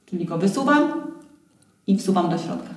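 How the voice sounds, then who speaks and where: A woman's voice: on the low side, expressive, normal speed. Woman instructor in a roomy, distant recording. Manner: encouraging, playful, frequent checking tag questions. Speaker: voice warm-up instructor. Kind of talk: guided exercise instruction, conversational. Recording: roomy echo, distant camera microphone.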